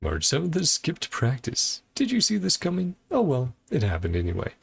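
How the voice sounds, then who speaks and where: Animated male game streamer with creaky voice. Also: mid-range voice, normal speed. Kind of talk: casual livestream commentary, reading game dialogue aloud.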